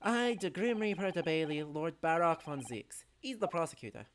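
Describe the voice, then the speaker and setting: A woman's voice: deep voice, sing-song, moderate pace, a little hiss or hum, voice pushed down. A woman voicing a blustering rich male rogue in an attempted Irish accent, theatrical and folksy, for a game. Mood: ominous.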